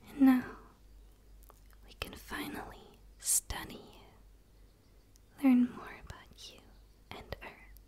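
An eager, curious female alien, acted by a woman whispers softly in an ASMR roleplay. Her voice sits high and stays very even in pitch. She is soothing and breathy, right up close to the mic. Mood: curious, gentle. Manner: soft, slow, soothing, breathy delivery. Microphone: close microphone, speaking straight to camera.

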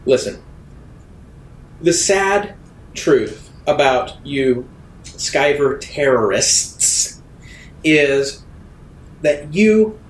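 Man voicing a slow, stern female security captain. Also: a little high, lively intonation, roomy sound, slight background noise, low-quality recording. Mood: defiant, accusing.

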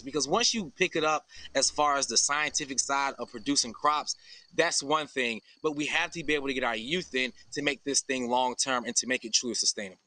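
A man's voice: mid-range voice, pushed and bright, dry recording. An American man in his thirties giving an earnest, informal explanation in a live interview over a video call. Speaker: farm founder and interview guest. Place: outdoors under an open farm shelter.

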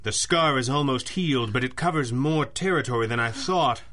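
Sober crimefighter boss male actor, 1930s radio style: crisp, medium-pitched, sharp-edged. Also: moderate pace, lightly acted. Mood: grave, urgent. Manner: crisp, formal, clipped.

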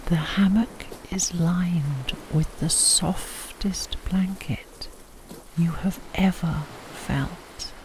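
A woman narrating a guided sleep meditation in a soft, breathy near-whisper, leaving long pauses between short phrases. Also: lowish voice, low-quality recording.

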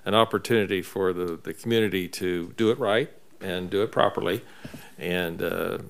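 A man's voice: low-pitched, moderate pace, gravelly. A man chairing a city council meeting, brief and procedural with a folksy touch. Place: council chamber.

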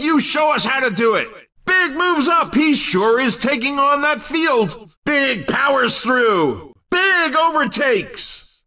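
An actor playing an exuberant, cheeky male race commentator in a video game, calling the action in punchy, excited exclamations. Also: very high-pitched, distant mic, exaggerated voice. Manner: punchy exclamations, hyped announcer delivery.

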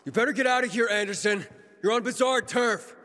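An actor playing an earnest, steady young survivor hero in a video game. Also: male voice, very high-pitched, brisk, long reverb tail, background noise. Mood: defiant.

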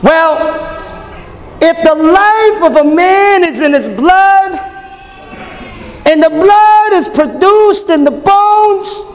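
A man's voice: very high voice, slow, long pauses. A man in his thirties preaching at a conference in a large ballroom, joking and calling out to the crowd for answers. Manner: animated, joking, call-and-response with the crowd. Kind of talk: lively conference sermon. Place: large hotel ballroom with a live audience. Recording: long hall reverb, faint crowd.

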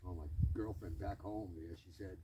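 A man's voice: deep voice, steady tone, normal speed. A man cracking profane jokes with a friend outdoors on a frozen lake, picked up from a distance by a phone camera. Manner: wisecracking and profane. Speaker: friend on a fishing trip. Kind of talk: casual banter. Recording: far off camera, loud wind noise.

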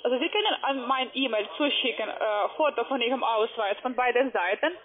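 A man working as a scam caller on the phone, halting and insistent, with broken grammar. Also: very high-pitched. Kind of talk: phone conversation. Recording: tinny phone line.

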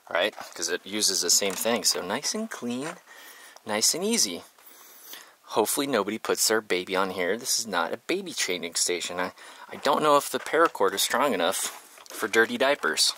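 A man's voice: lowish voice, bright, forceful tone, a little hiss or hum. A man talking casually and informally to a handheld camera as he works on a project, rambling as he explains. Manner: informal, rambling, talks while working. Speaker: vlogger, host. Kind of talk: casual DIY vlog narration to camera. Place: inside a converted school bus and outdoors beside it. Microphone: handheld camera at arm's length.

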